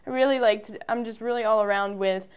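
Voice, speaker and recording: Casting: young American woman, early twenties, smooth clear voice, chatty informal interview guest. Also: on the high side, fairly even intonation, fast. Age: early 20s. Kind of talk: casual interview answers.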